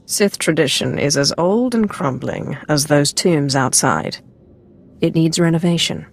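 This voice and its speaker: An actress playing a cool, commanding Sith Lord in a video game, with a dry, imperious manner. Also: female voice, low-pitched, unhurried.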